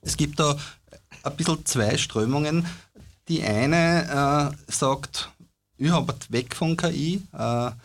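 A man's voice: on the high side, slow. A man speaking hesitantly in a casual discussion, with many fillers.

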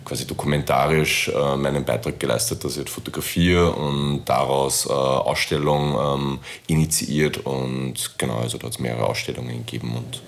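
An Austrian man talking casually as an interview guest, halting often as he looks for his words. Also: a bass voice, fairly even intonation. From born in Istanbul, grew up in Linz, Austria. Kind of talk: casual conversational interview talk.

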